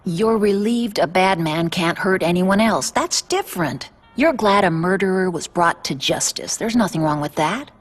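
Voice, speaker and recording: An actress playing a caring older widowed aunt in a game drama, gentle but firm, earnest and reassuring. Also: female voice, lowish voice, moderate pace, hardly any pauses, close to an everyday voice. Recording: music behind.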